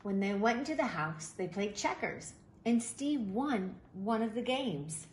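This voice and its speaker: A woman reading a children's picture book aloud in an expressive, animated way, with deliberate emphasis. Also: mid-range voice. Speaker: storybook reader. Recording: roomy echo, faint background noise.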